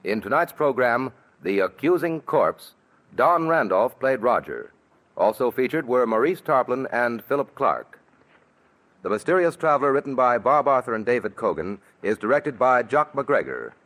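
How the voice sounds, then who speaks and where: A man's voice: mid-range voice, big pitch swings, moderate pace, a bit bright. A male radio announcer reading the closing credits and schedule in formal scripted style. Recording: old radio broadcast with faint noise.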